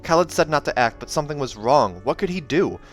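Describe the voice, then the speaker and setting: A man reading a fantasy novel aloud in an expressive narrating style, as a reader who also comments casually. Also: on the high side, a little fast, faint music behind.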